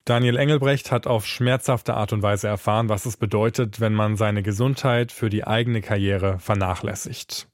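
A man's voice: lowish voice, steady tone, normal speed, hardly any pauses, no echo. A man narrating a scripted podcast as its host and reporter, speaking into a close microphone.

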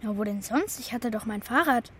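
A woman's voice: a little high, brisk, slightly rough. A woman playing a blunt, sceptical but helpful young boy in a children's radio play. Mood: gruffly helpful.

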